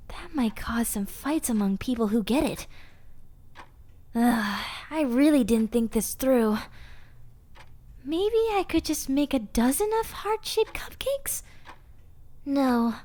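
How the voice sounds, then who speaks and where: A woman voicing a sweet, eager, scatterbrained monster girl in a roleplay audio drama, chatting and rambling bashfully to the listener. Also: on the high side. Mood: dismayed, sheepish.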